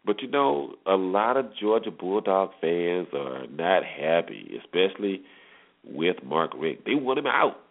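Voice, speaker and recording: A man's voice: sits low, big pitch swings, a little slow, creaky. A man hosting a solo sports talk show, giving conversational commentary with rhetorical questions and repeated points for emphasis. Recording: tinny phone-line internet radio audio.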